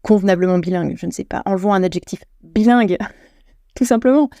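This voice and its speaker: French woman host, solo podcast chat, self-correcting, warm mellow voice. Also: mid-range voice, brisk, no room sound, studio quality. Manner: chatty, self-deprecating, many restarts and self-corrections.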